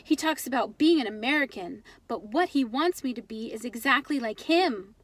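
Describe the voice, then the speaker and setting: A woman's voice: on the high side, lively intonation, moderate pace, dry recording. A woman voicing a frustrated, stubborn young boy in a children's storybook, insistent and complaining. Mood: exasperated complaining. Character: frustrated, stubborn young boy narrating his own life. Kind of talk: children's storybook read-aloud.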